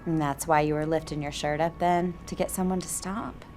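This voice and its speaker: A woman acting a motherly expectant wife in an audio drama, sugary and solicitous. Her voice sits a little low for a woman, with coaxing endearments over soft music. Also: steady tone, fairly quick, close to an everyday voice. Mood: coaxing, reassuring. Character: sweetly motherly pregnant wife, solicitous toward a teenage girl.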